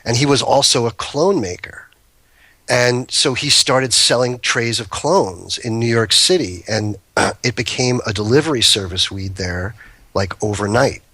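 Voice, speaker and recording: Bright-toned man telling rambling stories. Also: medium pitch, measured pace, husky, no echo. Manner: rambling, self-interrupting anecdotes. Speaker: guest, cannabis breeder. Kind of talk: casual storytelling chat.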